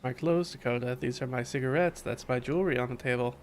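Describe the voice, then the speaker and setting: A man's voice: mid-range voice, fast, slight background noise. A man doing live playthrough commentary on a visual novel, reading dialogue aloud and reacting in an informal, slangy, wry way. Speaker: streamer playing a visual novel. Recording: faint background music.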